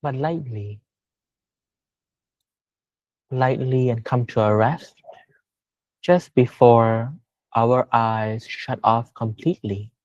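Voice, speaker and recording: A man leading a guided meditation as a teacher, speaking gently and unhurriedly with long pauses. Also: medium pitch, no room sound, muffled recording.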